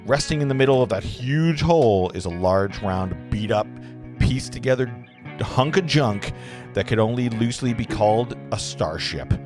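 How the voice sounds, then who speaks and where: A man's voice: medium pitch, animated, moderate pace, over music. A man reading stage directions as narrator at an informal table read, sometimes stumbling and correcting himself.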